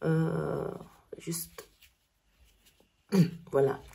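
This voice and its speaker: A woman with a cold, hoarse, slowly explaining knitting stitches step by step in a tutorial. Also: deep voice, flat delivery, warm tone. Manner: slow, step-by-step instructions.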